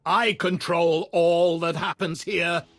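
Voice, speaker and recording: An actor playing a domineering, coldly paternal dark lord in a video game, speaking in a measured, haughty way. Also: male voice, fairly high pitch, fairly even intonation, no echo, studio quality, faint music behind. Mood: cold command. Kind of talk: dramatic video game acting.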